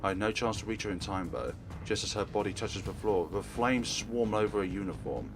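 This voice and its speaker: Low-voiced man narrating over music. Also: a little fast. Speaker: let's play host reading a visual novel aloud. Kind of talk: dramatic read-aloud narration. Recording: music playing behind. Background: game music.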